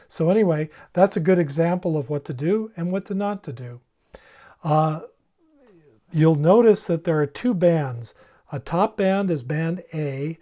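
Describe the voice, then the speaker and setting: A man's voice: a little high, dark tone. A man giving an informal, plain-spoken instructional explanation, step by step, as a presenter. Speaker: presenter demonstrating emergency radios.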